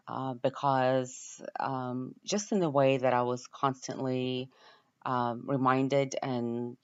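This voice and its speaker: Ukrainian woman student intro, slow n halting, clear smooth voice, kinda dull lo-fi audio. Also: extremely low pitch. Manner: halting, pausing often to find words. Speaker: university student introducing herself. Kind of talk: informal self-introduction, partly from notes.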